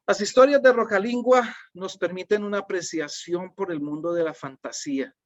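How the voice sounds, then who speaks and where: A middle-aged man with a Colombian accent presents a teaching webinar in a calm, unhurried way and often checks in with his listeners. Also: high-pitched, dry recording. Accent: Colombian Spanish. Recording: dull, dry, lo-fi webinar audio.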